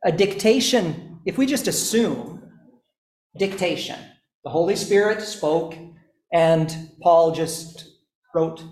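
A male teacher lectures slowly and deliberately, pausing between phrases. His voice sits a little high. The room around him is echoey. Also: expressive, darkish tone. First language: not English, also speaks Estonian. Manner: slow, deliberate explaining with pauses. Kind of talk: classroom lecture.

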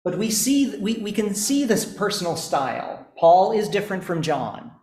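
A man teaching a class, explaining slowly and deliberately with pauses. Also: high-pitched, sing-song, a little mellow. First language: not English, also speaks Estonian. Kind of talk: classroom lecture. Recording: echoey room.

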